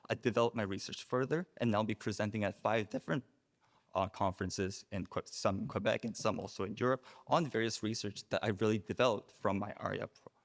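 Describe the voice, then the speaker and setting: Earnest young man giving a formal speech. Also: fairly low pitch. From South Korea. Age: young adult. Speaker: student speaker. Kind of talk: prepared speech at an awards reception. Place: reception room at a faculty club.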